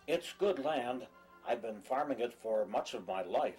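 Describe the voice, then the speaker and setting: A humble country farmer on the run played by a male actor in an audio drama. He speaks at a middling pitch, haltingly and timidly, tripping over words, with faint music underneath. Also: expressive, darkish tone, natural voice, rough recording. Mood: earnest, bargaining. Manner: halting, stammering. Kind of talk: dramatic dialogue in an audio play or table reading.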